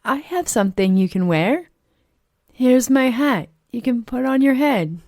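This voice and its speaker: A woman's voice: fairly high pitch, expressive, a little slow, warm tone, no room sound, clean studio sound, voice pushed up. A woman voicing kind, eager little children in a storybook, with an exclaiming, sing-song delivery. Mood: generous. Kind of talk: animated storybook read-aloud.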